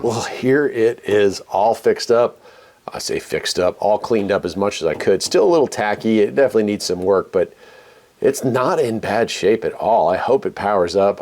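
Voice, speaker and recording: An American man hosting a casual unboxing and demonstration, talking in a folksy, conversational way as he thinks aloud. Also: on the low side. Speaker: video host.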